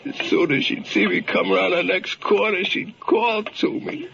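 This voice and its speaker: High-voiced, emotional grieving driver. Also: male voice, expressive, gravelly, a little hiss or hum, heavily acted. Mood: wistful. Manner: halting, emotional delivery. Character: grieving, astonished working-man driver who lost his wife. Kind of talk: 1940s radio acting. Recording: dull old radio recording under loud music.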